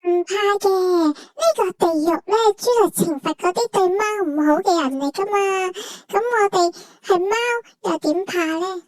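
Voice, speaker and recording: A cute cartoon cat with an extremely high, childlike voice. It speaks Hong Kong Cantonese in a theatrical, self-important and cheeky way, like a little travel companion. The sound is very dry, with no room around it. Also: female voice, unhurried, hardly any pauses, a little mellow, no echo, rough recording. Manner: childish, theatrical, refers to itself by name. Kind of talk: cartoon character commentary on a travel vlog.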